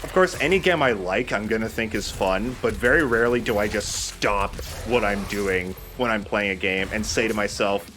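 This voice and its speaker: A man's voice: fairly low pitch, moderate pace, few pauses, over music. A man hosting a casual let's play, explaining the game and giving his opinions, with some mild swearing. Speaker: host playing a video game.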